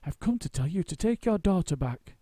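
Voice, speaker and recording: Brief: man voicing an earnest little bear, high and bright, dry close sound. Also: lively intonation, brisk, no echo. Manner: earnest, firm and indignant. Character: small polite earnest young bear.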